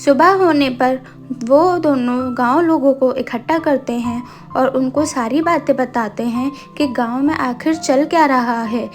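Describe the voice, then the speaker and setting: A woman's voice: high-pitched, a little slow, soft background music. A woman narrating a scripted cartoon story as the storyteller.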